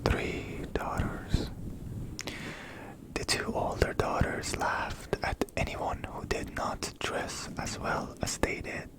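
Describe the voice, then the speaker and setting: A person whispering a bedtime story in ASMR style, with a breathy, unvoiced voice and a deliberate manner that softly repeats phrases. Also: low-quality recording.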